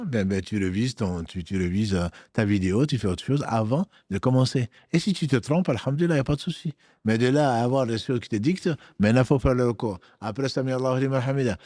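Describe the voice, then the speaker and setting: A man's voice: medium pitch, warm tone, dry recording, clean studio sound. A man answering as a religious teacher on a talk show. He explains rulings firmly and weaves in Arabic phrases.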